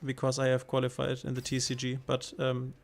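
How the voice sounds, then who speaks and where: Gravelly German-accented man streaming. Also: medium pitch, completely flat delivery, brisk. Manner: thinks aloud, hesitant, reacts to chat. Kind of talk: casual gameplay commentary.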